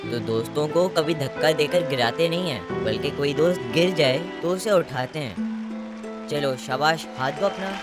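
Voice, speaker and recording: Casting: woman playing an earnest animated schoolboy, conversational and a bit boastful, low for a female voice, music underneath. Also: normal speed, natural voice. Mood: kindly, earnest. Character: young schoolboy, friendly, chatty, boastful schemer.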